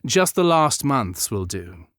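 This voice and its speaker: A man voicing a bright, eager, upper-class young Victorian gentleman in an audiobook, with an airy, polite and languid manner. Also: medium pitch, big pitch swings, takes it slowly, no room sound, studio quality. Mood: breezy.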